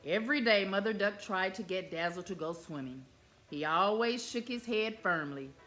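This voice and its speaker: A woman reading a children's picture book aloud in an expressive, animated way. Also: medium pitch, normal speed, faint music behind. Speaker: storyteller.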